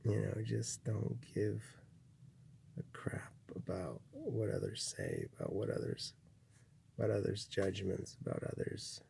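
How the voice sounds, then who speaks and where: A man from Chile giving a slow, rambling spiritual monologue, full of restarts and pauses. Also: huge pitch swings, darkish tone, in a whisper. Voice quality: gravelly, husky low voice. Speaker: spiritual speaker.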